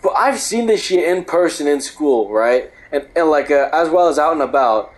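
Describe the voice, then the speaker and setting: A man's voice: fairly high pitch, animated, moderate pace, rough recording. A young man reading a book aloud and breaking off into rambling, profane rants. He says he is losing his voice. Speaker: host.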